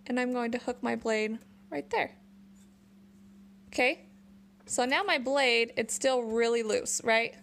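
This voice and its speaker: A woman's voice: a little high, takes it slowly, gravelly, long pauses, a bit bright, slight background noise. A young woman hosting a casual woodworking tutorial, chatting while she shows her tools in a small home workshop. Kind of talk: casual how-to demonstration. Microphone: camera on the bench, close.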